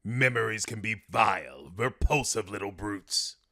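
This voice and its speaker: A crazed clown villain performs for a cartoon. The voice is gravelly and piercingly sharp, lurching up and down as he stretches his words. He is theatrical, gleeful and full of menace. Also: fairly low pitch, expressive, dry recording, studio quality, exaggerated voice. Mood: sinister, brooding. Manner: theatrical, unhinged, drawn-out.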